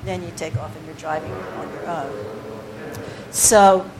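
A woman's voice: deep voice, expressive, slow, darkish tone. A woman leading a poetry workshop, talking informally and digressively to the group, with many fillers and restarts. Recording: noisy room recording.

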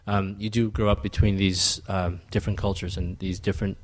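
Soft-spoken, hesitant, very deep-voiced man. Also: flat delivery, a little fast. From Ethiopia. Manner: reflective, hesitant, many ums and restarts. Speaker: writer. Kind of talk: thoughtful answers in a public Q&A.

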